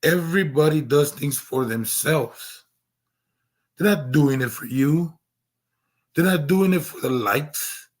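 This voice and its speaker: A hoarse man losing his voice, talking earnestly on a stream with lots of restarts and fillers. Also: on the high side, takes it slowly, long pauses. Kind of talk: casual livestream monologue.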